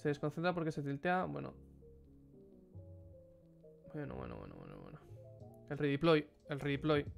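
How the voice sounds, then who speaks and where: A man's voice: a little high, big pitch swings, moderate pace, gravelly, soft background music. A young man with a Spanish accent from Spain, giving blunt, informal live-stream commentary on a headset and answering chat questions. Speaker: streamer reviewing a player's matches.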